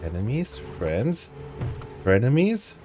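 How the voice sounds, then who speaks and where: A man's voice: mid-range voice, wildly swooping pitch, slow pace, music in the background. A man streaming a video game, casually commenting, joking to himself and singing or humming along. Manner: goofy, sings and hums along, talks to himself.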